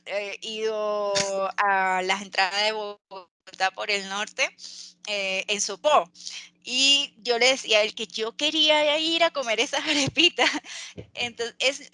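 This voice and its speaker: A Venezuelan woman speaking earnestly as an interview guest, pausing and restarting often. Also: fairly high pitch, sharp tone, dry recording. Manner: earnest, halting with many restarts and fillers. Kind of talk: interview over a call.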